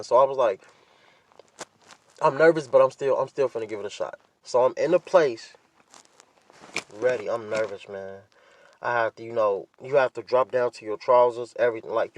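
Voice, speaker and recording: A man's voice: medium pitch, no room sound, poor audio. A man hosting a podcast, talking casually and candidly in blunt, profane slang and repeating himself for emphasis. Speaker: podcast host.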